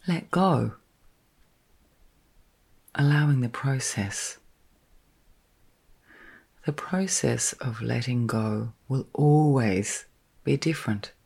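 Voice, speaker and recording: A woman leading a guided sleep meditation in a soft, breathy, partly whispered voice, gently soothing, with long pauses between short phrases. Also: a bass voice.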